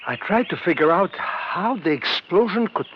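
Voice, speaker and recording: An intense foreign scientist from old radio drama, formal and solemn. His voice sits a little high for a man. The recording is dull and clearly noisy. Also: expressive, moderate pace. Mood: earnest. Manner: formal, stilted, solemn phrasing. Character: intense, earnest foreign male chemist, war-haunted assistant. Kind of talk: 1950s radio drama acting.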